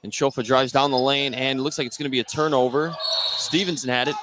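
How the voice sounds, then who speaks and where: An American man works as a play-by-play announcer on live basketball radio, with a mid-pitched voice. He follows the action in short running phrases, over faint gym noise and ball bounces. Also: fairly even intonation, fast talker. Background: basketball game in a gym.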